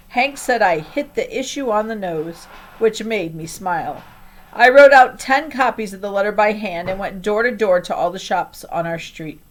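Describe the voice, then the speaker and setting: A woman's voice: mid-range voice, poor audio. A woman reading a children's novel aloud as a scripted first-person story. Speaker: audiobook reader.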